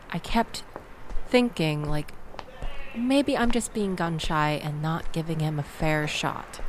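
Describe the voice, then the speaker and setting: A woman's voice: mid-range voice, a little hiss or hum, low-quality recording. A woman playing a sardonic young woman venting about a bad date in an intimate audio roleplay, wry, wordy and exasperated. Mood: wry, reflective. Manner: wry, exasperated, wordy, theatrical emphasis with sighs and groans.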